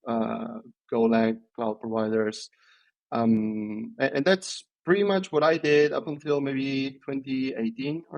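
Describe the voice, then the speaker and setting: A man, answering interview questions in a thoughtful, hesitant way with many pauses and restarts. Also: medium pitch, highs cut off. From Italy. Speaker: podcast guest, software engineer.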